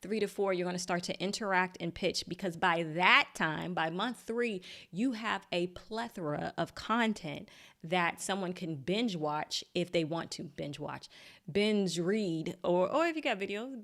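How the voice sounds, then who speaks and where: A woman with a slightly low voice teaches a live online workshop. She is chatty and encouraging and laughs easily at her own slips as she explains things to her viewers. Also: fairly bright tone. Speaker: LinkedIn marketing coach. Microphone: desk mic.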